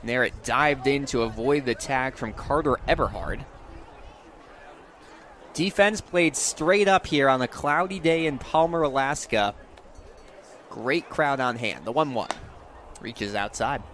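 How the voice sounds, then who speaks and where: A man's voice: mid-range voice, a little slow, pauses often, fairly bright tone, a little hiss or hum. A young man calling a game live as a sports play-by-play announcer. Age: young adult.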